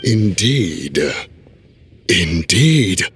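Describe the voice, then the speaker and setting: Grave polite immortal, lowish voice, long reverb, game character. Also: male voice, sing-song, fairly bright tone, heavily acted. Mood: agreeing. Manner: formal, measured. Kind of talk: video game voice acting. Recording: echoey hall-like reverb, faint music.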